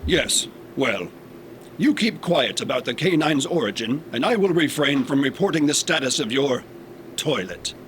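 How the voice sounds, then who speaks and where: A man's voice: medium pitch, expressive, normal speed, a bit bright, lightly acted. A man playing a haughty robot who scorns humans in a sci-fi comedy audio drama, speaking with pompous, formal diction over a noisy background. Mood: threatening. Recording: clear background noise and ambience.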